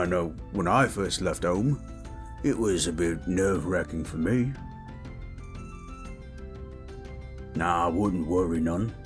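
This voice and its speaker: A man voicing a big, gentle, chatty orc in a soothing roleplay audio, with a folksy, rambling, rustic way of talking. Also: sits low. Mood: gentle, reassuring. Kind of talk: soothing character roleplay audio addressed to listener.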